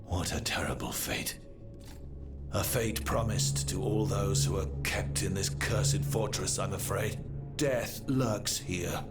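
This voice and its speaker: A man playing a sombre, grieving executioner in a video game, speaking gravely and formally. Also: on the low side, slow pace. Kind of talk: dramatic video game acting. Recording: music behind the voice.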